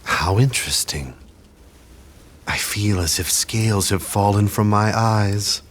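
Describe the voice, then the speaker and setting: A man playing a shrewd, haughty military strategist of ancient China in a video game cutscene, speaking in a measured, condescending, theatrical way. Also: lowish voice. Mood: intrigued. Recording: loud music under the voice. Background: game music.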